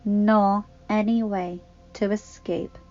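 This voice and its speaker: A woman voicing a smug, menacing female villain in a storybook, gloating in a drawn-out manner. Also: mid-range voice, steady tone, a little mellow, dull audio, faint music behind.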